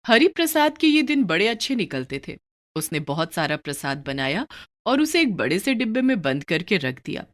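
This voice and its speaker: A woman's voice: mid-range voice, very animated, normal speed. A woman narrating a scripted moral story for a cartoon.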